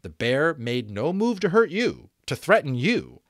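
A man in his thirties narrates an audiobook with very lively, swooping intonation. He leans on key words and pauses deliberately for drama. The sound is close and dry. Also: fairly high pitch, no echo. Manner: expressive, deliberate pauses and stressed repeats. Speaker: audiobook reader. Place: small room at home. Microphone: desk microphone close in front.

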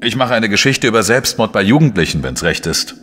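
An actor playing a dry, defiant investigative journalist in a radio play, with a sardonic, laconic manner. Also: male voice, fairly low pitch, big pitch swings, brisk, fairly bright tone, slight background noise. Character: dry, defiant male investigative journalist, narrating his case. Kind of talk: radio play dialogue and first-person narration.

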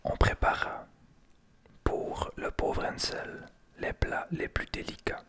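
A man reading a fairy tale aloud in a soft ASMR whisper. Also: slow. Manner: unvoiced whisper throughout. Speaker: storyteller.

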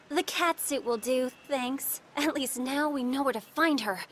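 A woman's voice: sits high, slow pace, breathy edge, no room sound, exaggerated voice. A woman voicing a plucky, confident teenage superhero girl in a cartoon audio drama, spirited and earnest. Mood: confident, puzzled. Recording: loud music behind the voice.

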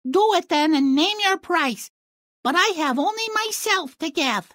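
A woman's voice: very high-pitched, expressive, a little slow, fairly bright tone, no echo, studio quality, heavily acted. A woman voicing a spoiled, whiny, rather dim young princess in a fairy-tale video game, petulant and melodramatic. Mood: put out.

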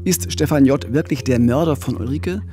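A man's voice: medium pitch, normal speed, mellow, faint music behind. A man in his forties hosting a true-crime podcast, narrating conversationally into a studio microphone. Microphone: studio condenser mic with pop filter.

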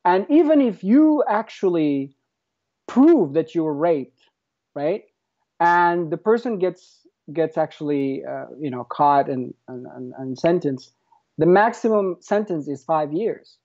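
A man in his thirties from Morocco speaks with a Moroccan accent as an interview guest. His voice is soft-edged and dark, sitting a little high. He explains things haltingly, repeating words, over a slightly muffled recording. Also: expressive. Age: 30s. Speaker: podcast guest, activist. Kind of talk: conversational interview answers.

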